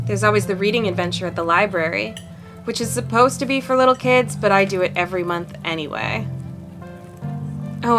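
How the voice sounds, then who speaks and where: A woman plays a friendly small-town young woman from a visual novel, outgoing and playful. She talks in a chatty way at a medium pitch, with clear music playing behind her. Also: lightly acted. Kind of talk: fan voice acting for a visual novel.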